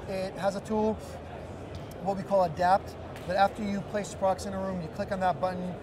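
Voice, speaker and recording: A man's voice: high voice, level tone, moderate pace. A man in his thirties explaining a product as an executive being interviewed at a busy trade show booth. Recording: loud busy trade show floor noise.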